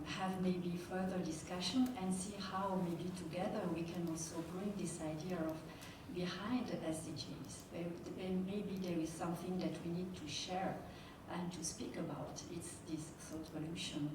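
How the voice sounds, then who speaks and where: Woman audience member, lowish voice, reflective, heard from afar in a reverberant room. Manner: earnest, reflective, some hesitation. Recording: echoey hall, distant mic, background noise.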